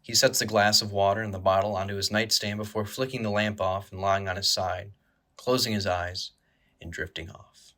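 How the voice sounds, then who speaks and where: A man's voice: lowish voice, fairly even intonation, moderate pace, a bit bright. A man reading scripted dramatic narration for an audio drama.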